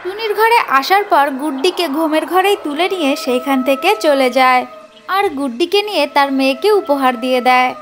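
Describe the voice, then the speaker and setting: A woman's voice: high voice, fairly quick. A woman storyteller reading scripted narration for a children's cartoon.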